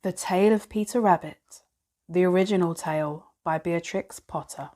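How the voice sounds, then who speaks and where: A woman narrating children's bedtime stories in a measured, expressive, dramatic read-aloud style. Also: lowish voice. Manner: slow, measured, dramatic storytelling for young children. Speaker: storybook narrator.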